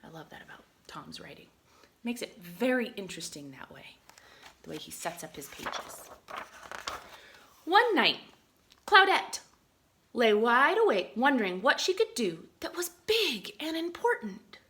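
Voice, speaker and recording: Female storybook reader, teacherly, very animated and bright, with hushed asides to young listeners. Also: fairly high pitch, unhurried, pauses often, a little hiss or hum. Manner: expressive, often drops into hushed asides. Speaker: teacher reading aloud to children. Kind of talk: picture-book read-aloud with asides.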